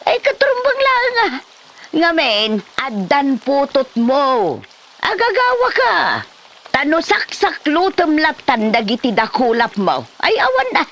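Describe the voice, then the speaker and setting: A woman's voice: high voice, big pitch swings, unhurried, a bit bright, exaggerated voice. A woman with a Filipino Ilocano accent playing a bossy aunt who scolds and hands out chores in a comedy drama. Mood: scolding. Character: bossy aunt handing chores to lazy man.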